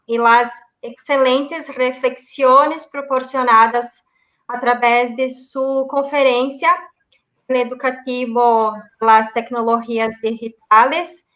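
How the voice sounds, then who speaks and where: Slow, bright-voiced Brazilian moderator. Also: female voice, fairly high pitch, poor audio. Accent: Brazilian Portuguese. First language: Portuguese. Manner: formal, careful, deliberate. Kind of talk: formal read-out introduction. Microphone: video call.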